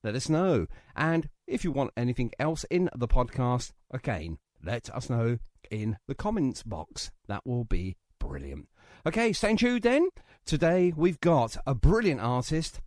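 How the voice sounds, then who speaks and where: A man's voice: medium pitch, very animated, unhurried, hardly any pauses, darkish tone, no room sound, clean studio sound. A British man hosting a radio show in an informal, chatty, jokey way with self-deprecating asides. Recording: music behind the voice. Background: music.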